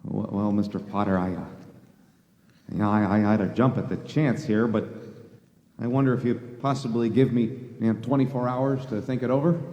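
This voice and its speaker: An actor playing an earnest, flustered small-town young husband in a live radio play, stammering and hesitant in a folksy way. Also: male voice, fairly low pitch, steady tone, normal speed, mellow, lo-fi sound, close to an everyday voice. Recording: echoey hall, live stage.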